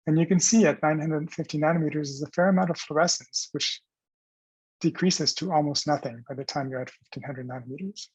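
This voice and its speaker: A man presenting scientific research as explanatory narration over slides, pausing mid-phrase in a halting delivery. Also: on the high side, a little mellow, slightly rough, dry recording, lo-fi sound.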